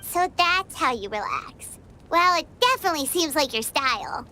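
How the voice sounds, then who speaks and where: A woman voicing a tiny, excitable, childlike fairy companion in a video game, squeaky and eager. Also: moderate pace, a little hiss or hum, exaggerated voice. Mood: musing. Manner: squeaky, eager, speaks in third person. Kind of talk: video game story voice acting.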